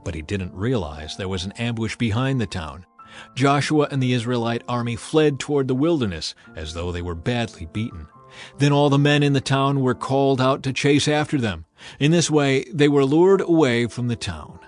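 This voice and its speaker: A man's voice: medium pitch, expressive, normal speed. A man reading scripture as a scripted, dramatized audio Bible narration. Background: background music.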